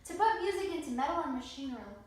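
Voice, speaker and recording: A woman's voice: high-pitched, big pitch swings, fast talker. A woman acting an elderly, passionate piano virtuoso and teacher in readers theatre, with an effusive, theatrical manner. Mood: excited. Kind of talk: amateur readers theatre performance. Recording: echoey room, distant mic, faint noise.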